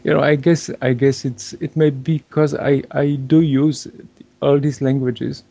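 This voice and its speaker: A man's voice: mid-range voice, little pitch movement, a little slow, creaky, dark tone, slightly rough, no echo. A man, an English speaker, answering interview questions hesitantly and searching for words. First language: Polish. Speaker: guest blogger. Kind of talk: remote interview.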